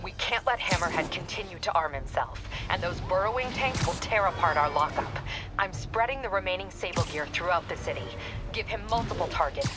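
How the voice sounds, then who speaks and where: A woman's voice: fairly low pitch, expressive, brisk, over loud music, natural voice. A woman playing a stern, driven police captain in a video game, giving clipped, commanding briefings. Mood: stern, urgent.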